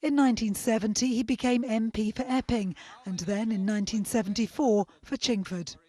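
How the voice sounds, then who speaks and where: A woman with a fairly high voice reads a scripted news report. She narrates an obituary package as a reporter. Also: fairly even intonation, a little fast, warm tone.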